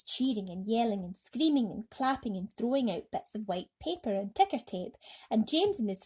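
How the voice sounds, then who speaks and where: Husky-edged woman reading a bedtime story. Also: medium pitch, a little mellow. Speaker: storybook reader. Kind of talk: expressive bedtime story narration.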